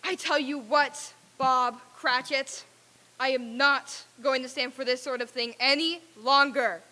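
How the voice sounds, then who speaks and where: A woman's voice: high-pitched, steady tone, measured pace, pushed and bright. A woman playing a reformed, overjoyed old miser in a theatrical old-time radio drama reading, with exclamatory delivery. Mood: mock stern.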